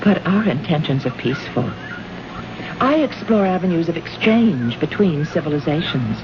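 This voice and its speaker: A muffled, noisy vintage radio drama recording of an earnest woman diplomat from the future. Her voice swings widely in pitch as she questions, puzzled and emphatic. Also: sing-song, moderate pace. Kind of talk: old-time radio drama acting.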